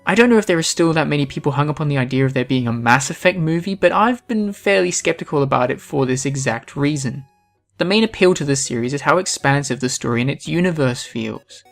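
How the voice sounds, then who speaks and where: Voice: medium pitch, animated, fast, creaky. Scripted video essayist with music behind.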